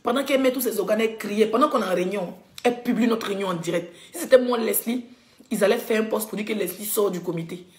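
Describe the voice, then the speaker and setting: A woman's voice: medium pitch, quick pace, a little mellow. A woman blogger ranting indignantly on a live stream, coughing with a cold and addressing her viewers directly. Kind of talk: heated rant on a live stream. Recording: echoey room.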